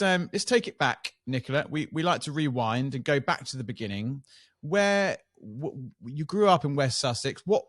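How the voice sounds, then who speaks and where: A man's voice: fairly low pitch, dry recording, clean studio sound. A man hosting a car podcast, asking friendly questions and talking with enthusiasm. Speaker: podcast host. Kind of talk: casual podcast chat.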